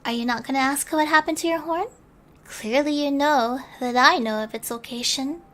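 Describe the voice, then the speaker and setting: A woman voicing a calm, knowing female archiver who speaks softly and gently teases. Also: sits high, normal speed. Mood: probing.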